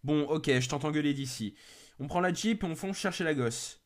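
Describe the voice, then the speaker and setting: A man's voice: fairly high pitch, moderate pace, close to an everyday voice. A man voicing an anxious young rescuer in an amateur horror story, casual and hesitant in manner. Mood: casual, hurried.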